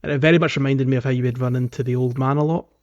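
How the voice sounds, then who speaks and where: A man's voice: mid-range voice, fairly even intonation, rapid-fire, a little mellow, muffled recording. A man hosting a podcast, talking through a game in a casual, rambling, conversational way. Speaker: podcast host.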